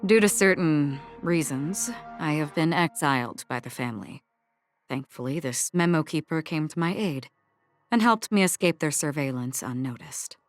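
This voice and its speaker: A calm, reserved exile. Her voice sits very low, and she speaks softly and formally with restraint. Faint game music hums behind. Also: moderate pace. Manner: soft-spoken, formal, restrained. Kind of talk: video game voice acting.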